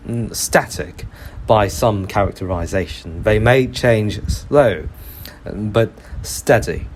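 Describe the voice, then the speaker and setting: Low-voiced man with adopted British accent. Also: darkish tone, a little hiss or hum, low-quality recording. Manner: formal, ornate, hesitant phrasing. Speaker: host of an educational episode on English. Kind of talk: semi-scripted monologue lecture.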